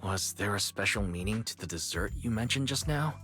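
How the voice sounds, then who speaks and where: An actor playing a calm, practical young man who talks in an even, matter-of-fact way, in game audio with music behind. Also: fairly low pitch, fairly quick.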